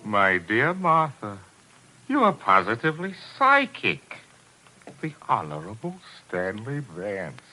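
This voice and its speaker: An actor playing a flippant, heartless brother with an arch, mock-grand manner in 1940s radio drama style. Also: male voice, on the low side, huge pitch swings, slow pace, bright, forceful tone, faint music behind, exaggerated voice, low-quality recording.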